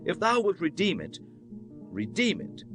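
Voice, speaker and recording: Man acting an earnest, animated biblical nobleman. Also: high voice, unhurried, over music, exaggerated voice. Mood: formal, businesslike. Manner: solemn, declamatory, archaic formal speech. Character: Boaz, earnest, honorable biblical landowner. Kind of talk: dramatized scripture audiobook acting.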